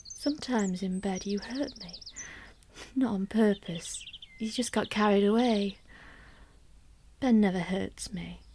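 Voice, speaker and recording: A married mother confiding in a gentle radio play. She speaks slowly at a medium pitch, wistful and measured, with intimate near-whispered moments. There is faint noise behind the voice. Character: wistful married mother narrating her private longings. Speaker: actor in a solo radio play. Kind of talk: gentle dramatic monologue.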